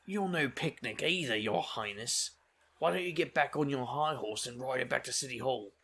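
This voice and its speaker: A man's voice: mid-range voice, moderate pace, gravelly, bright, forceful tone. A man playing a roguish, easygoing bartender who banters wryly in a comic audio drama. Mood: wry teasing. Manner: wry, bantering.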